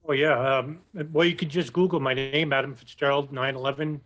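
A man speaking as a guest on a casual podcast interview. He talks in a measured, hesitant way with frequent pauses. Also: medium pitch, fairly even intonation, fairly bright tone.

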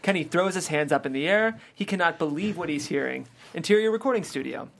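A woman reading stage directions aloud at a script table read, calm and matter-of-fact. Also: low voice, quick pace, gravelly.

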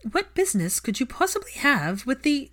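Woman putting on a haughty, high-pitched older aristocratic mother. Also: very animated, normal speed, fairly bright tone. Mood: imperious, probing. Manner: formal, stern, emphatic.

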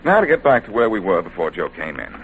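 A breezy, wisecracking American amateur sleuth from an old radio play. His voice sits low and swings freely, with a teasing, sardonic edge. The recording carries the noise of an aged broadcast. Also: sing-song, quick pace, lo-fi sound. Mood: needling. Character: Boston Blackie, cocky wisecracking amateur sleuth, quick to needle police. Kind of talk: 1940s radio detective drama acting.

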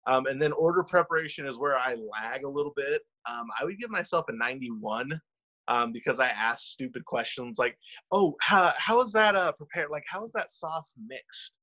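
A man's voice: mid-range voice, animated, normal speed, sharp tone, lo-fi sound. A man co-hosting a casual sports podcast, chatty and self-deprecating, rambling with fillers. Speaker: podcast co-host. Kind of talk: casual chat.

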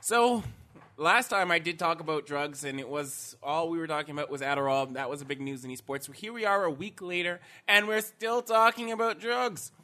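A man's voice: on the high side, normal speed, pushed and bright. A man giving an esports news segment on a casual podcast, rambling loosely and laughing often.

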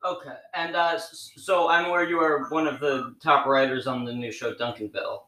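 Man, podcast host, bright tone, hesitant questions, echoey room. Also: medium pitch, fairly even intonation, a little fast. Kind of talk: interview questions.

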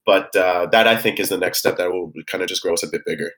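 A man's voice: low voice, quick pace, fairly bright tone. A congested young man in his twenties, hosting a podcast in loose, rambling casual chat, recording remotely over a voice call. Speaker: podcast host. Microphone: headphones on a voice call.

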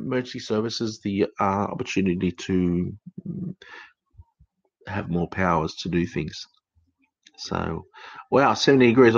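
A man's voice: fairly low pitch, muffled recording. A middle-aged counsellor hosting his podcast from home, with an Australian accent that has a few English traces, chatting casually and rambling with many fillers and restarts. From United Kingdom, raised in Australia. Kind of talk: casual live-stream podcast talk.

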